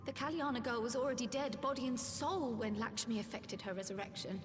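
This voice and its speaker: A studious, polite young seer from a video game, voiced by a woman, speaks with careful earnestness. Her voice is fairly high. It carries long hall reverb over clearly audible music. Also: fairly quick, natural voice. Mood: bewildered. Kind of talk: video game cutscene acting.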